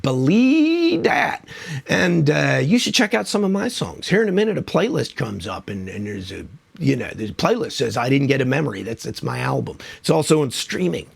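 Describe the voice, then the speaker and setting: A man's voice: medium pitch, sing-song, moderate pace, vocal fry, husky. A man who is losing his voice from a cold, hosting a casual music countdown in a chatty, enthusiastic, rambling way. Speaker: music video host.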